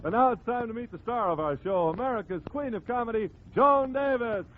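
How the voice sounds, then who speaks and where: A man's voice: very high-pitched, brisk. A male radio announcer giving showy, polished scripted introductions in 1940s style. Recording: very narrow tinny 1940s radio recording, music behind.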